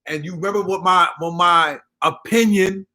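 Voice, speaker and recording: A man's voice: high-pitched, moderate pace, bright, forceful tone. A man with a Haitian accent hosting a live podcast, talking casually, emphatic and repeating himself for stress. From Haitian family. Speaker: podcast host.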